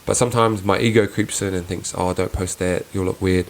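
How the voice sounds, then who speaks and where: A young man with a soft, fairly low voice talks through his thoughts on his own podcast. He sounds earnest and reflective, often restarting sentences and pausing on fillers as he works out what he means. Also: fairly even intonation, slight background noise. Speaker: podcast host. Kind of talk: casual reflective solo podcast.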